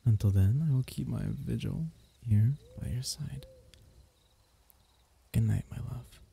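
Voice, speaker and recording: Man playing a very dark-voiced, gentle royal diviner. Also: medium pitch, a little hiss or hum. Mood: soothing, loving. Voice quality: husky, gravelly. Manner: archaic, tender, unhurried storytelling. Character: gentle, loving royal diviner telling a bedtime myth. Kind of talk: ASMR bedtime story roleplay.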